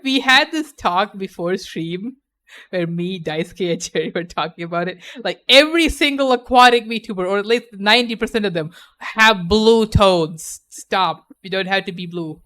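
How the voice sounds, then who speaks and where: A woman's voice: medium pitch, normal speed, a bit bright. A young adult woman chatting casually on a stream, playful and opinionated, laughing often.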